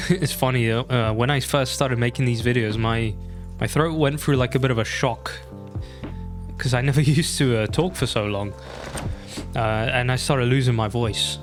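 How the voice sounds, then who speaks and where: A man's voice: medium pitch, fairly even intonation, normal speed, soft background music. A young man chatting casually over his own gameplay, laughing often and making self-mocking asides. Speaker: gaming YouTuber. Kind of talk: casual let's-play commentary.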